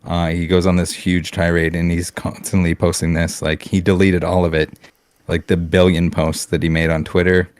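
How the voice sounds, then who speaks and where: A man's voice: low voice, flat delivery, normal speed, no echo. A man from Canada on a casual podcast, ranting bluntly with swearing, restarts and fillers. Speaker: podcast co-host.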